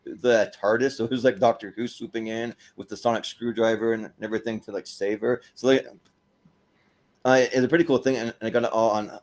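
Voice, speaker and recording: A man hosting a casual panel chat in a rambling, halting way, often repeating words. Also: mid-range voice, fairly even intonation. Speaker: host of a panel show.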